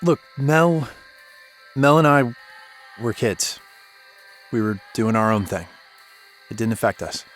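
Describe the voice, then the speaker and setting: An actor playing a broken-down, haunted radio DJ in a horror audio drama, hesitant and defensive. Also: male voice, mid-range voice, lively intonation, takes it slowly, pauses often, faint music behind. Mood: guarded, defensive. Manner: hesitant, guarded.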